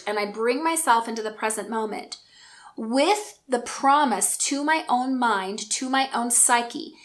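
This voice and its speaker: A woman in her forties telling a personal story in an earnest, reflective and gentle way. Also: on the high side. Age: 40s. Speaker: speaker and coach.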